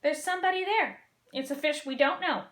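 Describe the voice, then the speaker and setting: A woman's voice: high voice, fairly quick, fairly bright tone, pitched above the performer's own voice. A woman voicing a nervous, worried father fish in a storybook reading, talking in a fretful, urgent way. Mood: anxious. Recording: roomy echo.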